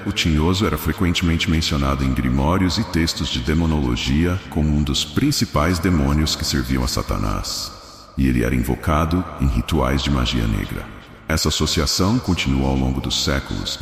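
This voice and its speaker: A man's voice: extremely low pitch, lively intonation, normal speed, creaky. A man with a Brazilian accent narrates a scripted religious story in a solemn, dramatic storytelling manner. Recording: echoey voice with long reverb.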